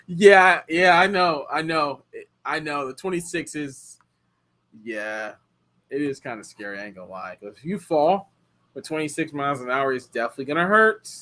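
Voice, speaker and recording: Lively rambling American man. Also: a little high, normal speed. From United States. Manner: rambling, many fillers and restarts. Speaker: car rental host answering viewer questions. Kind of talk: casual live chat.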